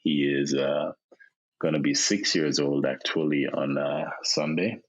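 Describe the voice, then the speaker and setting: A Jamaican man in his thirties, a podcast guest, talking in a relaxed way with many fillers and repeated words over a remote call. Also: extremely low pitch, unhurried, slightly rough. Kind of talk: casual interview chat. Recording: dull lo-fi sound.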